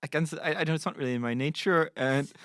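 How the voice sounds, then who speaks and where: A man's voice: a little high, quick pace, a bit bright. A man in casual, improvised banter as a podcast cast member.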